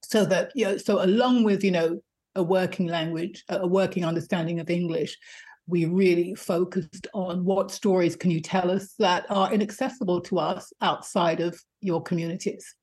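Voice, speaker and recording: A woman's voice: lowish voice, steady tone, normal speed, a little mellow. A woman speaking as an interview guest in a thoughtful way, with fillers and repeated words. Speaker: guest, co-editor. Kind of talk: conversational interview.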